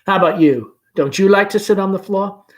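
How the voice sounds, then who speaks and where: A man playing a confident, gently humorous young gentleman in a play, speaking in a hearty, breezy manner. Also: sits high, fairly quick, pitched above the performer's own voice, lightly acted. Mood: breezy, friendly. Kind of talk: play read-aloud.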